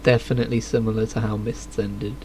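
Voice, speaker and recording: A male game streamer with a slightly low voice, commenting casually and dryly as he plays. There is faint noise and game ambience behind him. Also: level tone, fairly quick, mellow. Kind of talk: live game stream commentary, reading quest text aloud.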